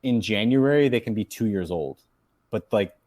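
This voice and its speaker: A man's voice: on the low side, expressive, normal speed, darkish tone. A man in his thirties, a guest on a casual podcast, talking in an earnest, chatty way and explaining things at length. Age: 30s.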